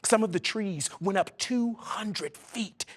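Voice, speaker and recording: A man's voice: high voice, slow. A man, an actor, giving a tender dramatic reading of a letter in a storytelling manner.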